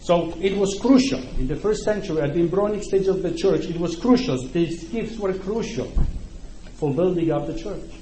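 A middle-aged man with a Polish accent, whose first language is Ukrainian, delivers a sermon in a chatty, back-and-forth way, often asking his listeners if they follow. His voice sits slightly high for a man. The church hall is roomy and echoey, with a faint hum of background noise. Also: moderate pace, mellow. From Poland. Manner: conversational, often checks in with listeners. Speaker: preacher. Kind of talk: sermon to a congregation.

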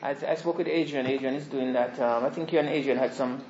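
A soft-spoken man giving a rambling, informal sermon introduction to a small congregation. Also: fairly even intonation, fast talker, crowd noise. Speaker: visiting preacher. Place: small church hall. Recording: echoey hall, dull low-fi sound.

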